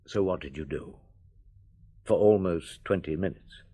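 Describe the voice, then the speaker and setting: A middle-aged actor playing a patient police chief in a radio drama, questioning a witness in a calm, coaxing way. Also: male voice, low-pitched, unhurried, slightly rough.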